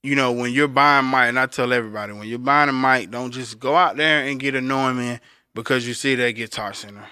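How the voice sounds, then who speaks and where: A man with a bright, forceful voice and a clear, resonant tone talks casually as a podcast co-host. He explains things like a working engineer, straight to the listener, in a close, dry recording. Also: fairly even intonation, brisk, no room sound. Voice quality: deep voice. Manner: conversational explainer, addressing listeners directly. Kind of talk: casual instructional talk.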